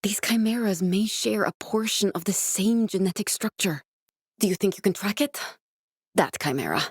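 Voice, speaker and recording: An actress playing an earnest, guarded woman scientist in a video game, speaking in a measured, serious, explanatory way with naturalistic delivery. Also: mid-range voice, husky, no echo, studio quality. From born in Norway, raised in Glasgow (character).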